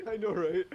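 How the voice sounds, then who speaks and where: A man's voice: sits high, muffled recording, slight background noise. A young man, a guest on a casual streamed podcast. He chats eagerly and laughs along.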